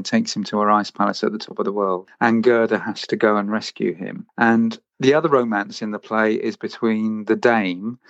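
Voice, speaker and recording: A man's voice: lowish voice, dry recording. Man explaining, dry muffled recording. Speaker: theatre creative director and pantomime writer, interview guest. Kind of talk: conversational interview answers explaining a show. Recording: slightly dull, band-limited sound.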